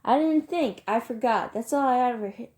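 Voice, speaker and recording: A woman's voice: mid-range voice, level tone, moderate pace, mellow, close to an everyday voice. Woman as teenage boy, sensitive younger brother, emotional, pleading, defensive, storybook character. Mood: upset, defensive.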